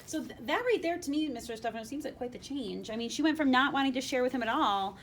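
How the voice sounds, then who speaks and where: A female teacher with a fairly high voice leads a lesson for young children. She asks questions expressively and sounds a little away from the mic, over faint noise. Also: animated, fast, a bit bright. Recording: slightly distant mic, faint rumbling noise.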